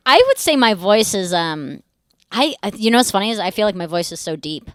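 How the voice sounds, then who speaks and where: Young woman co-host, lively swooping pitch, teasing, dry close mic. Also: a little fast, no room sound. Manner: playful, teasing interjections. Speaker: podcast co-host. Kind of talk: casual podcast banter.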